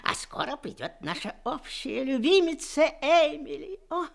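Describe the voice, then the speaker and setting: A bubbly carnival hostess whose very high voice swoops up and down with enthusiasm. She gushes over her guests in this old studio radio drama. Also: sing-song, measured pace, creaky, exaggerated voice. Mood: gushing. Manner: gushing, enthusiastic. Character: bubbly, welcoming carnival woman. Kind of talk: 1970s Soviet radio play acting.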